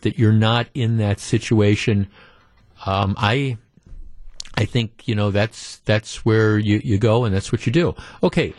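A man's voice: lowish voice, darkish tone, no echo. A man hosts a call-in radio show. He talks in a loose conversational way, full of restarts and fillers. The sound is dry and close to the mic. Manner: reads listener emails aloud. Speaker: radio talk show host.

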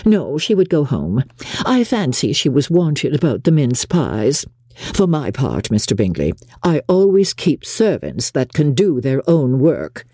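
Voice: mid-range voice, sing-song, unhurried, warm tone, husky, dry recording, studio quality. A narrator voicing a fussy, gushing middle-aged mother who rambles and boasts in an audiobook. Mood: smug, gossipy. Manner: rambling, gossipy, self-satisfied. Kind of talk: audiobook character dialogue.

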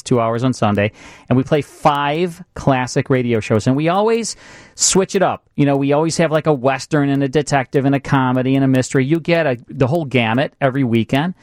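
A man's voice: mid-range voice, no room sound. A man talking casually as a radio show co-host in a studio interview. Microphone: close studio microphone.

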